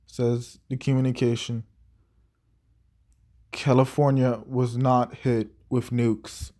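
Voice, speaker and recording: A man's voice: mid-range voice, dark tone, natural voice. A man playing a local TV news anchor in an audio drama, with a formal announcer delivery. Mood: shaken. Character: local TV news anchor, male, composed then shaken.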